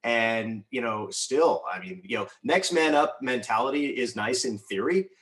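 A man's voice: medium pitch, animated, normal speed, a bit bright, slightly rough. An American man who works as a radio sports announcer, giving conversational analysis in an emphatic, discursive way, thinking aloud as he goes.

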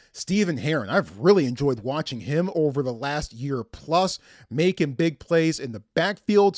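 A middle-aged man hosts a sports podcast in a somewhat high voice. His delivery is upbeat and punchy, with an announcer's emphasis on key words. The sound is very dry, with little room around the voice. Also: no room sound. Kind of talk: scripted sports podcast presenting.

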